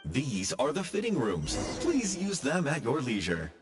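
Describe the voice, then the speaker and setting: Mechanical guide: polite, formal recorded-tour delivery, extremely animated pitch, game role. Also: male voice, a little slow, over music. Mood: courteous. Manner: formal, courteous, scripted announcement. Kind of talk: video game voice acting. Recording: clear noisy ambience behind.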